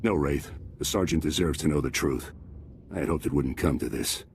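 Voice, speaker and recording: An actor playing a grave, disillusioned military commander in a video game, speaking in a solemn, measured, formal way. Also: male voice, a bass voice, slight background noise. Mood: grave regret. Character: disillusioned male traitor commander, grave and honorable soldier. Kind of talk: video game cutscene acting.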